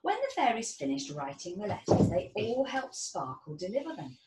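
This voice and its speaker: A woman in her thirties reading a children's storybook aloud in an expressive, deliberate storytelling manner. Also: medium pitch. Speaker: storybook reader. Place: small indoor children's playroom. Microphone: camera mic about a metre away. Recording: roomy, distant mic, faint background noise.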